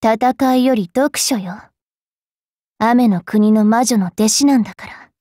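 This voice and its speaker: A woman voicing a bookish, sharp-tongued young fairy witch knight in an anime mobile game, switching between prim politeness and rough taunts. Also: fairly high pitch, takes it slowly, no echo, clean studio sound. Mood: smug, composed.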